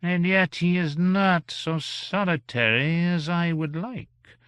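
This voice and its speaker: A man voicing a vain, capricious fairy gentleman in a storybook, theatrical and effusive, with gushing menace and drawn-out words. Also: sits high, big pitch swings, no room sound, pitched above the performer's own voice, full character voice. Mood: displeased.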